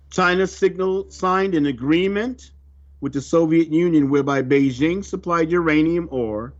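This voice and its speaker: A man reading a scripted religious report aloud in a solemn, deliberate, emphatic manner. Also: fairly high pitch. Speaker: religious reader of a prophecy report. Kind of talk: scripted narration, read aloud. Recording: dull lo-fi audio under music. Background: music.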